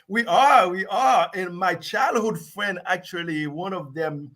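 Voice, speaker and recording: A man in his sixties from Haiti, a guest telling his story in a relaxed, laughing way. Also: high-pitched, a little hiss or hum. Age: 60s. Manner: warm, laughing, unhurried storytelling. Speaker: guest actor. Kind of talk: casual interview chat.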